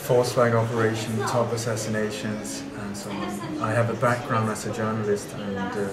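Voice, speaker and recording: A man's voice: fairly low pitch, level tone, moderate pace, loud music behind the voice. A man who grew up in Denmark and Sweden, giving an earnest, emphatic interview at a conference and repeating his key words. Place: conference venue indoors. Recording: echoey conference venue with crowd. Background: loud crowd chatter.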